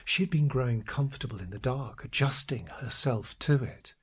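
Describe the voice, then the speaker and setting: A man reads literary fiction aloud with a husky, breathy voice of medium pitch. His storytelling is deliberate and dramatic, able to move between hush and urgency. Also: animated. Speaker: audio story narrator.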